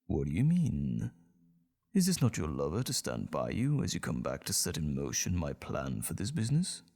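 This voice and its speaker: A man's voice: very deep voice, huge pitch swings, normal speed, creaky, mellow, full character voice. A man playing a stern, domineering middle-aged elf patriarch in a fantasy audio drama, haughty, commanding and theatrical. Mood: indignant, scheming.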